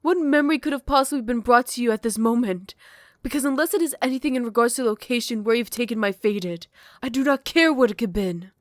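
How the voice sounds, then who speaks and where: A woman's voice: on the high side, fast, dry recording, natural voice. A woman voicing a possessive female vampire queen in an ASMR roleplay, moving from close, tender whispers to furious threats. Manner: soft close whispers turning to furious shouting. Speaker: voice actor.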